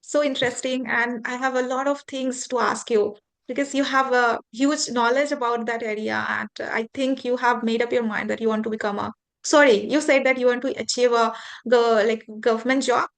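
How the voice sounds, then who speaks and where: Woman hosting a video call, slightly high voice, hesitant, keeps restarting questions. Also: fairly even intonation, normal speed. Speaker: host. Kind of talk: casual conversation practice.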